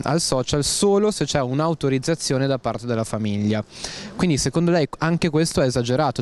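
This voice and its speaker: A man's voice: mid-range voice, darkish tone. A man interviewing passers-by outdoors, asking formal questions. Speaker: street interviewer. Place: outdoors on a town street.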